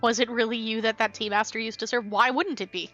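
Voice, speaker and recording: A woman's voice: on the high side, steady tone, fast, fairly bright tone, dull audio, soft background music. A woman streaming a game live, chatting playfully and casually to her viewers. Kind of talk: casual live-stream chat while playing.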